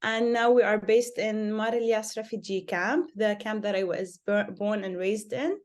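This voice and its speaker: A woman's voice: mid-range voice, moderate pace, a little mellow. Young woman from lebanon answering interview questions, earnest, lots of uh's. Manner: earnest, many filler "uh"s. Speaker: interview guest, social worker.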